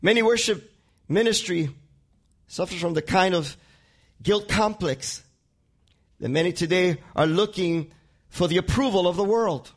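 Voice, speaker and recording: Male pastor, Spanish accent, high voice, preaching, repeats words as he builds toward a point. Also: a little slow, pauses often. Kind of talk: sermon.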